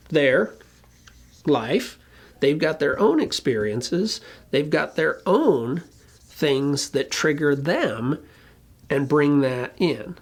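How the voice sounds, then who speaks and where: A presenter giving an informal explainer talk in a colloquial, emphatic way, with a gravelly, husky voice. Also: mid-range voice. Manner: colloquial, emphatic, occasionally swearing. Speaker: presenter explaining relationship conflict.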